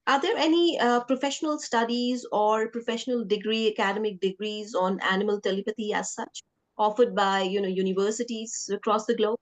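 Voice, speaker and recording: A woman's voice: medium pitch, steady tone, a little fast. A woman hosting an interview podcast, introducing and questioning in a conversational way. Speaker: podcast host.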